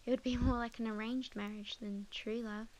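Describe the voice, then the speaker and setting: High-voiced, tender young witch, acted by a woman, Australian accent. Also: steady tone, moderate pace, lo-fi sound, close to an everyday voice. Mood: wistful, musing. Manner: soft, hesitant, sometimes dropping to a whisper. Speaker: ASMR audio roleplay performer. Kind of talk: soft, intimate ASMR romance roleplay.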